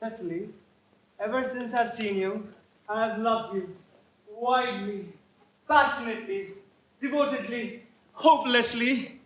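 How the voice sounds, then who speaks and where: Male actor as very high-pitched young gentleman, flippant and lovestruck, theatrical stage comedy, echoey recording. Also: unhurried, pauses often. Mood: ardent. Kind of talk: amateur stage comedy acting.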